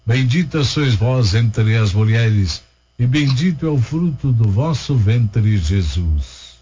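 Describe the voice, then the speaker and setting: A man's voice: medium pitch, takes it slowly, breathy edge. A Brazilian man hosting a radio prayer show, steadily reading out listeners' names and towns and leading the prayers. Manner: steady, rhythmic listing of names and places. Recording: dull lo-fi radio broadcast.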